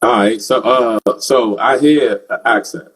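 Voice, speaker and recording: A man's voice: mid-range voice, unhurried, fairly bright tone, slightly rough. A man hosting a casual interview who jokes and often repeats words as he starts his questions. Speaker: interviewer host.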